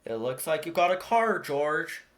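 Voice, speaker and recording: Reader acting as easygoing man, kindly, patient, animated intonation, children's storybook. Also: mid-range voice, unhurried. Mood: friendly.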